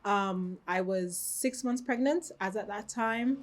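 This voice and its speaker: Austrian-raised shop owner, woman, thirties, calm reflective interviewee. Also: medium pitch, takes it slowly. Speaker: boutique owner, guest. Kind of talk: interview answers. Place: her boutique, indoors.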